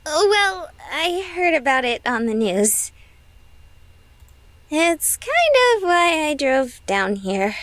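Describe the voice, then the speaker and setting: A man voicing a sweet, shy, childlike young woman in a visual novel, with a timid, halting delivery. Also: extremely high pitch, animated, a bit bright, dry recording, pitched above the performer's own voice, heavily acted. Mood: bashful.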